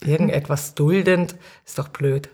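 Low-voiced woman in casual conversation. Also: steady tone, measured pace, dark tone. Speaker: podcast guest.

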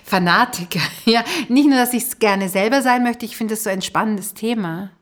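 A woman's voice: fairly high pitch, moderate pace. A woman hosting a podcast interview, thinking aloud and searching for her words in a conversational way. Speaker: podcast host.

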